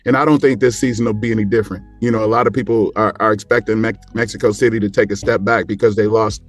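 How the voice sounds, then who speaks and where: A man chatting casually about sports as a panel guest, repeating words haltingly as he builds his points. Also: lowish voice, fairly even intonation.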